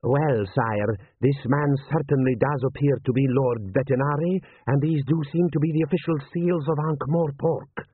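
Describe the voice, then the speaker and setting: Man acting a careful, formal general, husky. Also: medium pitch, moderate pace, few pauses, dry recording, voice pushed up, lightly acted. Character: sharp, deferential male army general. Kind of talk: audiobook character voice. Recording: narrow-band, phone-like sound.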